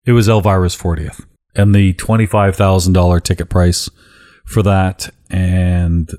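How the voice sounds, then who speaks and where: A man hosting a casual podcast chat, with a deep voice and a joking, blunt way of talking that drops casual swearing. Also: little pitch movement, unhurried, dark tone. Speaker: podcast host.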